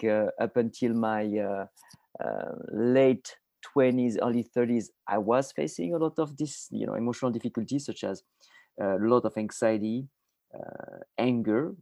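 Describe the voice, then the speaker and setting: A man's voice: mid-range voice, mellow, no room sound. A man in his forties from France with a French accent, a podcast guest who halts and searches for his words as he explains. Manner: halting, searching for words mid-sentence. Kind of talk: conversational interview answers.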